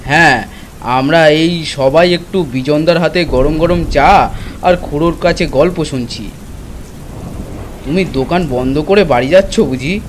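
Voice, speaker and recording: A man playing a friendly tea-stall regular in a village cartoon, the sort who smooths quarrels over. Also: a little high, unhurried, a little hiss or hum, lightly acted. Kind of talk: cartoon dialogue.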